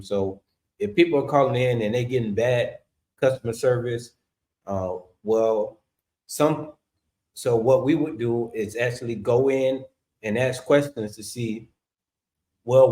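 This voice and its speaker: A man's voice: mid-range voice, a little slow, a little mellow. A man explaining his group's aims hesitantly, with many fillers. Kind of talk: explaining viewpoint.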